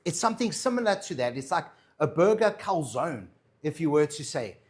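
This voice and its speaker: A man's voice: a little high, sing-song, normal speed. A man with a South African accent, a chef chatting casually through a cooking demo and answering viewer questions. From Madeira, raised in South Africa. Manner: chatty, reassuring, tags lines with "all right?" and "yeah?".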